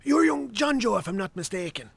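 An actor playing a chatty Irish countryman from the local pub in a radio drama, with a raspy, creaky voice. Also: high voice, sing-song, a little fast, fairly bright tone, no room sound, exaggerated voice. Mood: affable, nosy.

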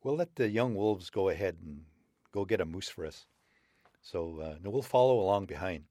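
A man's voice: animated, moderate pace, warm tone, dry recording, clean studio sound. A man voicing a friendly, sly old male wolf leader in a spoken folk tale, coaxing and persuasive. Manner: coaxing, raised pitch.

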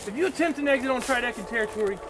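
A man's voice: very high-pitched, lively intonation, racing. A man playing a nervous, flustered officer in an audio drama, stammering and repeating himself as he pleads and gives orders. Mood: panicked warning. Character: nervous, flustered male officer trying to assert authority. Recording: clearly noisy, vehicle-like ambience behind.

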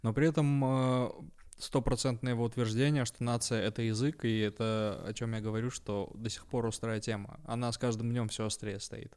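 A man from North Ossetia hosting a podcast, talking in a measured, thoughtful way with some hesitant fillers. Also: medium pitch, flat delivery, darkish tone, clean tone. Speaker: podcast host. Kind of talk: casual discussion.

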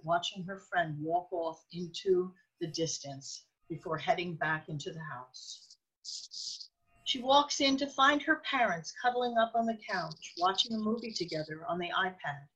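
A woman's voice: mid-range voice, a bit bright, slightly rough, lo-fi sound. A woman reading scripted narration for a radio drama, describing scenes as the narrator.

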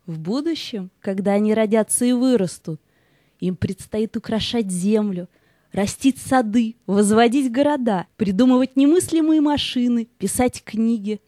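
A woman as a gentle, mellow spirit of light. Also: mid-range voice, no echo, studio quality, close to an everyday voice. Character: gentle, kindly female Soul of Light spirit. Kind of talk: children's audio play acting.

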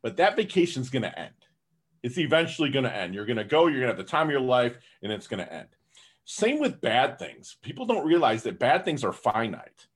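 A man talking as a podcast guest in a casual interview, upbeat and energetic, peppering his talk with "you know". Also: medium pitch, brisk, husky.

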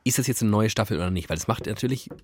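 A man's voice: fairly low pitch, very fast. A thirty-year-old man co-hosting a podcast in casual, rambling, self-ironic banter. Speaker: podcast co-host.

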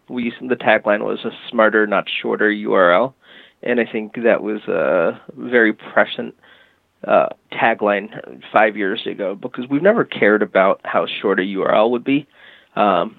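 Gravelly low man on a phone-like line. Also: no echo. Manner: measured, explanatory, with frequent fillers. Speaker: agency head, podcast guest. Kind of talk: casual interview talk.

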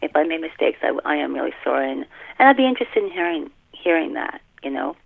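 A middle-aged woman talking casually in a podcast interview as an author, halting and often repeating and rephrasing her phrases. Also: low-pitched, very animated, vocal fry, slightly rough. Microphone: telephone. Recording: muffled, tinny telephone line.

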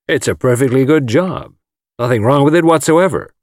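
A man's voice: mid-range voice, animated, normal speed, a little mellow, dry recording, clean studio sound, voice pushed up, close to an everyday voice. A man voicing a blunt, bantering male adventurer talking like an old friend in an audiobook. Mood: persuasive. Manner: blunt, chummy. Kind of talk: audiobook character voice.

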